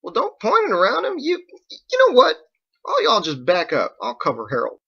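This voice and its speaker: A folksy sailor boss, voiced by a male reader in a storybook, wary and protective, full of down-home slang. The voice jumps up high and has a sharp, forceful edge. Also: wildly swooping pitch, normal speed, gravelly, breathy edge, muffled recording, exaggerated voice. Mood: alarmed, exasperated.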